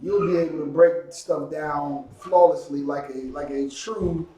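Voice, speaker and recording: A man teaching a Hebrew language class, drilling words slowly and often checking "you understand?". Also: a little high, steady tone, warm tone. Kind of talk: classroom lesson, call and response. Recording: echoey room, mic far off.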